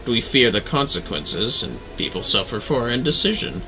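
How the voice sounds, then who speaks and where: A man acts out a theatrical dark knight mentor in a video game, preaching justice with solemn drama. The voice is forceful and swings widely in pitch, with music behind it. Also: big pitch swings, moderate pace, full character voice, poor audio. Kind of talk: reading video game dialogue aloud.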